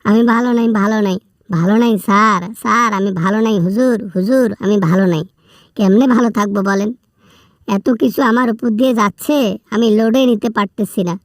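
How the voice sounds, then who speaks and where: A woman's voice: mid-range voice, a little fast, dry recording, clean studio sound, natural voice. A woman with a Bangladeshi accent playing a whining male prisoner in a comic phone skit, pleading and repeating words in agitation. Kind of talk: comic prank-style phone call skit.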